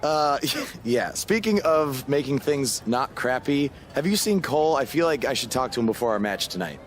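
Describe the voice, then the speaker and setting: A man's voice: mid-range voice, sing-song, moderate pace, a little hiss or hum, close to an everyday voice. An actor playing a weary, sceptical young male wrestler in a video game, with a dry, hesitant, unimpressed manner. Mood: wry, uneasy. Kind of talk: video game cutscene acting.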